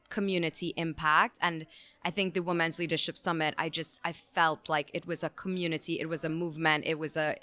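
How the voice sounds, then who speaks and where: A woman from Trinidad answers interview questions with earnest, halting speech full of fillers. Her voice is fairly low but bright and crisp, recorded close and dry. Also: no echo. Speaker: architect, interview guest.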